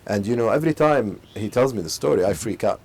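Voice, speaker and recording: A man's voice: lowish voice, slightly rough. A middle-aged man from Saudi Arabia, a company chief, telling his story in a conversational interview with many fillers and restarts. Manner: fast, animated storytelling with frequent fillers and restarts. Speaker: company CEO, interview guest.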